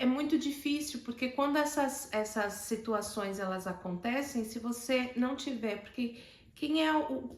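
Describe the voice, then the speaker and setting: A woman's voice: fairly high pitch, reverberant. A woman from Northeast Brazil with a Northeastern Brazilian accent, telling a personal story to camera with many fillers and restarts. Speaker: host.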